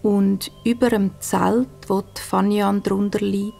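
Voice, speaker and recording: A woman with a Swiss German accent narrating a children's bedtime story softly and soothingly, breathy and hushed, with gentle pauses. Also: medium pitch, little pitch movement, soft background music. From Switzerland.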